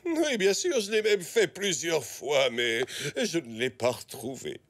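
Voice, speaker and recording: A man playing a harried, absent-minded zebra uncle in a French cartoon dub, animated and self-justifying. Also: a little high, measured pace. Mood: flustered, defensive.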